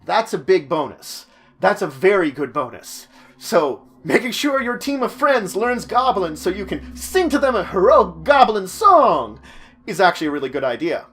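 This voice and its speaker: A man with a fairly high-pitched voice hosts an informal roleplaying guide. He explains things to viewers in a chatty way, leaning on key words for emphasis. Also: very animated, moderate pace, a bit bright, husky. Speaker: host of a tabletop roleplaying guide series.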